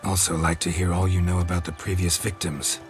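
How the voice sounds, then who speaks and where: An actor playing a stoic, world-weary monster hunter in a video game, gravelly and laconic. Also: male voice, low voice, brisk, over music. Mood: businesslike. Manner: laconic, deadpan, clipped sentences. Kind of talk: video game character acting.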